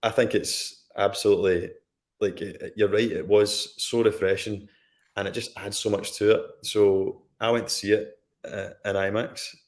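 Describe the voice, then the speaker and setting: Deep-voiced Scottish man telling a story. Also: little pitch movement, moderate pace. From Scotland. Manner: conversational, storytelling, asides. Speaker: guest. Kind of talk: casual chat on a live stream.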